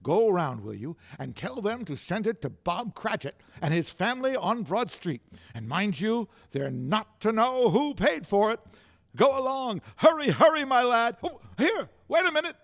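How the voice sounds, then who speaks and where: An actor playing an old miser in a theatrical, exclamatory radio drama style, full of impassioned feeling. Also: male voice, high-pitched, very animated, moderate pace, gravelly, fairly bright tone, full character voice. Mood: delighted, eager. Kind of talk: golden age radio drama acting.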